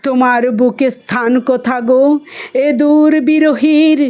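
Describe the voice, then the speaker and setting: A woman reciting poetry expressively, with a measured, emotive delivery. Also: sits high, fairly even intonation, darkish tone, clean tone.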